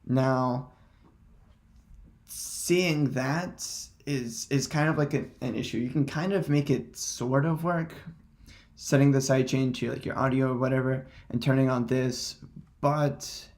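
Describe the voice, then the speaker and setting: Man, gravelly creak, casual tutorial presenter, hesitant phrasing, unscripted. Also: mid-range voice, steady tone, a little slow, darkish tone.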